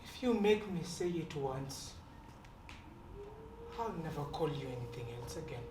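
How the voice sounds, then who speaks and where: An actor playing a dignified older servant on stage, measured and firm, with bitterness underneath. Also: mid-range voice, expressive, lightly acted. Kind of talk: stage play acting. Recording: roomy stage recording from a distance, faint hiss.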